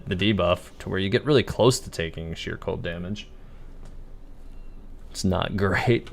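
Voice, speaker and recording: A man giving casual, easygoing live game commentary as a streamer, thinking aloud as he plays. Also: sits low. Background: game music.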